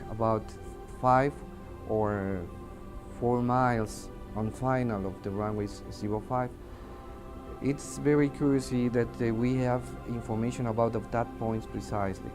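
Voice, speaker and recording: A man with a Mexican accent, a native Spanish speaker, giving testimony as an air traffic controller in hesitant, broken English, searching for words. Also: mid-range voice. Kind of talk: interview testimony in halting English. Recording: music under the voice. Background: music.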